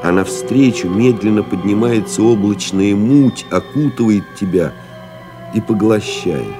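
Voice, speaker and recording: An actor playing a pilot who recalls a lost comrade, reading solemnly, expressively and in measured literary phrases. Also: male voice, on the low side, lively intonation. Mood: solemn, reflective. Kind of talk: dramatic literary reading in an audio play. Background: music behind the voice.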